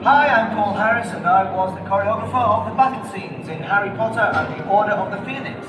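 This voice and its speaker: A man, a choreographer, giving calm, measured step-by-step instructions in a recorded voice-over. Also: high voice. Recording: echoey playback from far off. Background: loud music.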